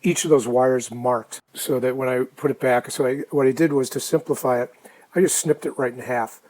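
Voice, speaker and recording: Mellow man, casually narrating a DIY project. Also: mid-range voice, fairly even intonation, moderate pace, poor audio. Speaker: hobbyist restorer narrating a project.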